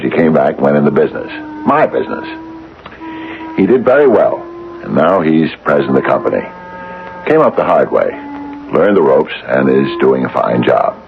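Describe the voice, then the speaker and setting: A very deep-voiced anxious older businessman. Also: gravelly, heavily acted. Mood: plain, reflective. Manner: hesitant, halting, stammering. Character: anxious, wrought-up retired businessman, nearly sixty. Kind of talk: radio drama acting. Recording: dull, noisy vintage radio recording.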